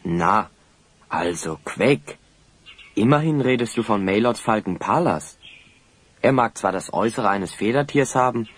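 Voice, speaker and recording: An actor playing a sensible, friendly teenage boy hero, reasoning and gently chiding, in a radio play. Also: lowish voice, lively intonation, unhurried, husky.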